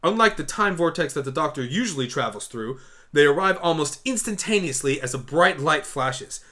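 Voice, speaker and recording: Guy narrating a fan audio story, scripted read, bright clear-cut tone. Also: fairly high pitch, expressive, moderate pace.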